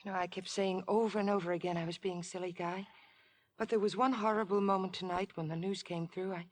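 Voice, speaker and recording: Earnest, genteel young fiancée, played by an actress. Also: female voice, lowish voice, fairly even intonation, quick pace, natural voice. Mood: distressed, tender. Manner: earnest, pleading, refined. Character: anxious, devoted young fiancée, genteel and tender. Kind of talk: 1950s radio drama acting.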